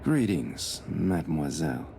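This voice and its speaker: A man playing a practical gentleman official in a period visual novel, with a plain, businesslike delivery. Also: extremely low pitch, sing-song, a little slow, exaggerated voice. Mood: wary, polite. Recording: clear background noise and ambience.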